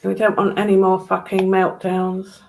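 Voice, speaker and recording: A woman's voice: mid-range voice, monotone, normal speed, creaky, a little hiss or hum. A woman chatting casually as a guest on a livestream, blunt and informal in her way of talking.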